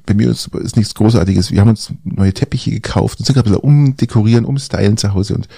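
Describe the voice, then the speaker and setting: Husky, congested man chatting. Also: fairly low pitch, quick pace, warm tone, no echo, studio quality. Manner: rambling, hesitant with many fillers. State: congested with a cold. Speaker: podcast co-host. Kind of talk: casual chat.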